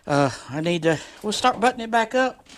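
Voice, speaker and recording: A folksy vlogger talks through a hands-on motorcycle project in a mid-pitched voice. The delivery is casual and rambling, with loose colloquial grammar and a jokey streak, as an informal progress update from a shed workshop. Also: animated, fairly quick.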